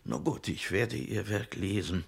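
An actor playing a shrewd, haughty old bookseller in a radio drama, with a schoolmasterly, condescending, muttering manner. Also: male voice, on the low side, expressive, measured pace, full character voice. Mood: dismissive. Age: older. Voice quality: husky, gravelly.

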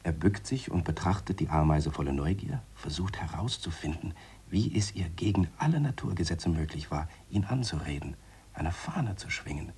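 Radio drama reflective scientist: very low, mellow, slightly husky, measured and wryly lecturing. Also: male voice, expressive, slight background noise. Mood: dry, pondering. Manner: measured, dry, explanatory. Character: Harry, deliberate, wry male scientist explaining his theories.

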